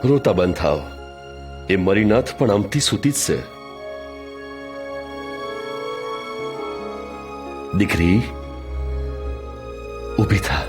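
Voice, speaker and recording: A man with a Kathiyawadi Gujarati accent playing Jesus as a calm, authoritative teacher in an audio drama, speaking in a measured, earnest way. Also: lowish voice, soft background music. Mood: gentle command. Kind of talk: audio drama acting, preaching.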